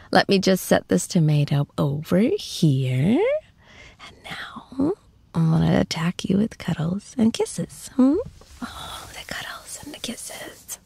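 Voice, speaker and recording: A woman acts out an adoring, playful girlfriend in an intimate ASMR roleplay. She coos in a breathy voice that often falls into whispers, very creaky at the edges. Her pitch swoops affectionately, and the sound is close and dry. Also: dry recording, heavily acted. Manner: cooing, playful, slow and tender. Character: affectionate, teasing young girlfriend comforting her shy boyfriend.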